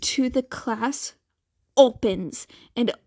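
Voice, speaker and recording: Slow-paced, higher-voiced woman narrator. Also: mellow, no room sound, studio quality. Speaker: podfic narrator reading fan fiction. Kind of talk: scripted story narration.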